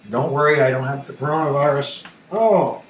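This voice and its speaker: A man's voice: medium pitch, normal speed. A man hosting a casual live-stream tutorial, rambling and thinking aloud in a self-deprecating way. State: allergy coughing and throat-clearing. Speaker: livestream host and ham radio operator. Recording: roomy echo, faint noise.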